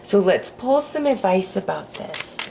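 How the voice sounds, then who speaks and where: A woman with a hoarse voice from a cold, giving a casual, upbeat astrology forecast while pulling tarot cards. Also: medium pitch, big pitch swings, a little slow, dark tone, a little hiss or hum. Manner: breezy and upbeat, with sighs and fillers. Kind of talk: casual spiritual forecast with card pulls.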